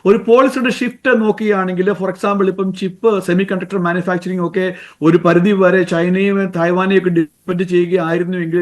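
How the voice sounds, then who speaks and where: A man with a Malayali accent giving unscripted live commentary as a news correspondent, haltingly, with frequent English fillers. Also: high voice.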